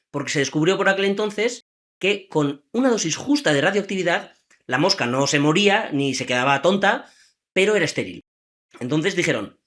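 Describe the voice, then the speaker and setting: Lively man. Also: sits high. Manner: lively, asks listeners rhetorical questions. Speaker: trivia podcast host. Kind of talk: casual storytelling chat.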